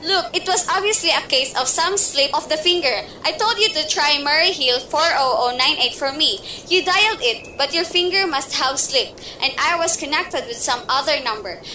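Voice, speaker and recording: Lady doing a jumpy bedridden wife in a radio play, high bright voice, whiny and pushy, hissy tape. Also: expressive, moderate pace, few pauses, rough recording. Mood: anxious. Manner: fretful, shrill, insistent. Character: nervous bedridden wife alone at night. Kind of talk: amateur radio play acting.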